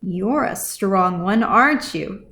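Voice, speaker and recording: A woman voicing a gentle, bearded widower dwarf in a fantasy audiobook, soft and hesitant. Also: mid-range voice, lively intonation, slow. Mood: tender amusement. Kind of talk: audiobook character acting.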